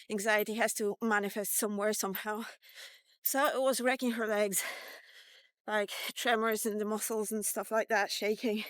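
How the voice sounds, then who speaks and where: A woman in her fifties tells a personal tale in a casual, candid style, with some swearing. Her voice sits a little high and she takes her time, rambling from one thought to the next. The recording is dry and close, with very little room sound. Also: fairly bright tone, clean tone, dry recording. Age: 50s. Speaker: vlogger. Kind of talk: casual personal storytelling, told in third person. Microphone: portable mic, walkie-talkie vlog.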